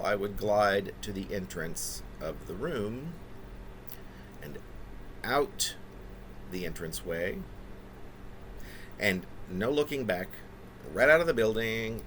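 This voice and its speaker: A man in his fifties, a poet talking casually and reading his own work in a halting, pausing way. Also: lowish voice, a bit bright. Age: 50s. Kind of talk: casual spoken preamble and poetry reading. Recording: noticeable background room noise.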